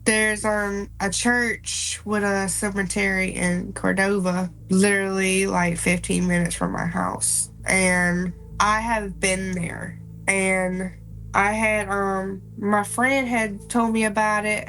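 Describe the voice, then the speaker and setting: A woman, sounding congested and a little nasal, telling ghost stories slowly and plainly in a casual podcast chat. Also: medium pitch, gravelly, fairly bright tone, rough recording. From South Carolina. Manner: slow, folksy storytelling. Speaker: paranormal investigator guest. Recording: faint background noise.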